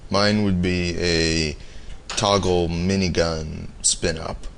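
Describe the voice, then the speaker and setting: A low-pitched male voice, a little hoarse as if losing his voice, delivers a prepared listener tip. It is a homemade recording with faint background noise. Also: little pitch movement, slow pace. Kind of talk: semi-scripted audio message to a show.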